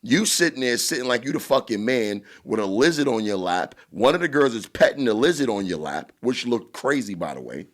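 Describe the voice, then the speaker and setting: A man with a New York accent and a booming voice, co-hosting a podcast in casual, blunt chat, swearing freely. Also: very animated, fairly quick, hardly any pauses. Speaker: podcast co-host.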